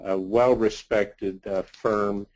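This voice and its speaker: Slow-spoken man presenting company results. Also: lowish voice, steady tone, a little mellow, poor audio. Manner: halting delivery in short phrases. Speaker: company executive presenting on investor call. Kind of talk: slide presentation of financial results.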